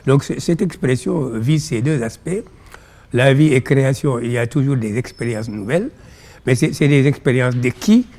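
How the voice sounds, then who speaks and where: A man with a Senegalese accent and Pulaar as his first language, explaining ideas in measured, didactic phrases as an interview guest. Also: mid-range voice, expressive, a little mellow. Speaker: interview guest, philosophy author.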